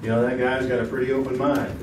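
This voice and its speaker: A man's voice: medium pitch, little pitch movement, fast, close to an everyday voice. A man playing a folksy, wisecracking host of a 1950s radio game show on stage in a theater. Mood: genial. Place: live stage performance in a small theater. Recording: roomy, distant theater recording with heavy noise.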